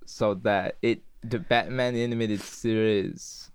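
A man with a fairly low voice chats casually in a podcast, filling in with agreement sounds and restarting his sentences. The recording is dry and close. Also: steady tone, slow, clean tone, dry recording. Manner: chatty, many backchannels and restarts. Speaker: podcast co-host. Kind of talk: casual chat.

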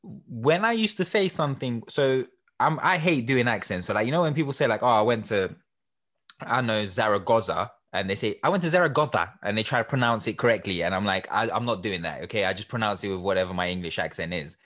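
A young man in casual conversation, telling an anecdote in a lively, self-deprecating way. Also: lowish voice, fast talker. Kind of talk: casual chat.

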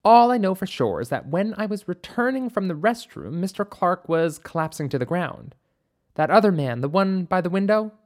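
A narrator voicing a composed, observant woman doctor with measured, precise speech and a wryly playful streak in an audiobook story. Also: lowish voice, sing-song, a little mellow, voice pushed up. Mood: thoughtful.

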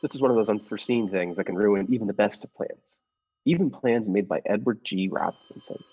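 A man's voice: lowish voice, fairly quick, darkish tone, husky, low-quality recording. A man playing a meek, nervous henpecked husband who narrates his scheme in a radio play, hesitant, earnest and formal. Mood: tense recounting. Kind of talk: improvised-cast classic radio play narration.

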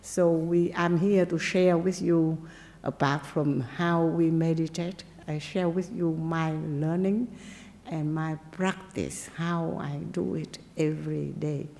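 A woman Buddhist nun, not a native English speaker, giving a gentle dharma talk with simple phrasing and pauses. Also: low voice, fairly even intonation, vocal fry, big-room sound. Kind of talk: dharma talk and meditation teaching.

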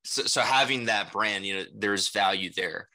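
Interview guest: young man, Mandarin native speaker, sharp cutting tone, lowish register, loose conversational delivery peppered with tag questions. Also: normal speed. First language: Mandarin Chinese. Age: young adult. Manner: rambling, frequent "you know" and "right?" tags. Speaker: interview guest, salesperson and rapper. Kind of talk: casual conversational interview answers.

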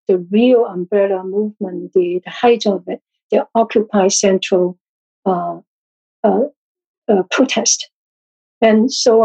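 Halting woman with a Chinese first language. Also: mid-range voice, warm tone, dull audio. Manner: halting, many restarts and fillers. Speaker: guest author. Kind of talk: casual interview talk.

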